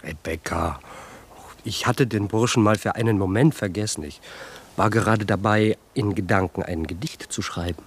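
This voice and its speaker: A man playing a languid, witty, poetic young gentleman in a German radio play. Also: on the low side, normal speed, breathy edge. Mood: dreamy.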